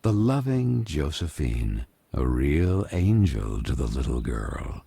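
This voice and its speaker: Slow, very deep-voiced storybook narrator. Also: male voice, very animated, creaky, dark tone, breathy edge, no echo, exaggerated voice. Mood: solemn, measured. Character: solemn storybook narrator of a dark fairy tale. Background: music.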